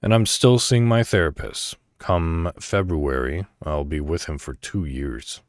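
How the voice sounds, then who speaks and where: A man's voice: low-pitched, a little slow, no echo, studio quality. A man hosting a solo podcast in a casual, conversational and somewhat rambling way. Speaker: podcast host. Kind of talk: casual solo podcast talk, reading articles aloud.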